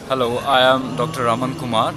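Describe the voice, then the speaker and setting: Indian male doctor, earnest, halting delivery, mid pitch, loud music bed. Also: flat delivery, crowd noise. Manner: earnest, hesitant with many fillers. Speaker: family physician. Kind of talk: conference interview.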